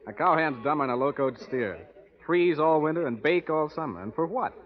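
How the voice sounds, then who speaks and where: An actor playing an easygoing, folksy young cowhand with an American Western accent in an old-time radio western. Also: male voice, a little high, expressive, fairly quick, lightly acted. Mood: wry, weary. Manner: folksy, casual. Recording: muffled old radio, crowd behind.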